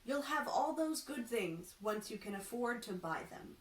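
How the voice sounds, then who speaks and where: A woman voicing a bashful, earnest male carpenter who plays fortune teller in a storybook, with measured pronouncements. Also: on the high side, lively intonation. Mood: earnest, reassuring. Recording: echoey room, distant mic, faint noise.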